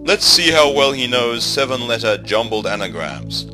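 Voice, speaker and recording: A man reading scripted documentary narration in a measured, wry way. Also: mid-range voice, sing-song. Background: music.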